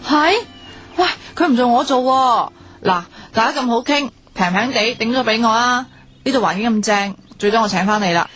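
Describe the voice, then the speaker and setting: An actress playing a feisty, bossy, sharp-tongued young woman in a radio drama, speaking Hong Kong Cantonese in a blunt, expressive way. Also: a little high, moderate pace, lightly acted. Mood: brisk, bossy. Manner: blunt, teasing, expressive slangy scolding. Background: background music.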